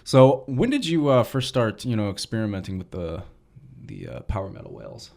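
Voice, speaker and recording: Low-voiced laid-back radio host. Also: male voice, wildly swooping pitch, moderate pace, vocal fry, a little mellow. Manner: laid-back, casual, with fillers. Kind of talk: casual interview. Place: college radio studio.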